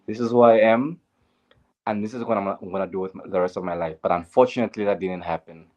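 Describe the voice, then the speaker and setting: A man's voice: lowish voice, fairly even intonation, fast, warm tone, muffled recording. A young man with a Zambian accent, a guest in an interview, speaking earnestly and candidly about his life, with frequent fillers and set phrases. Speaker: guest, LGBTQ advocate. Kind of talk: interview, personal story.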